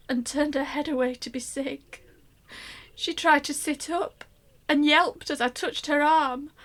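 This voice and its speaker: An actress playing an anxious middle-aged mother, telling her story in an expressive, wry first-person radio play monologue. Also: sits high. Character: anxious middle-aged mother recounting a troubled family holiday.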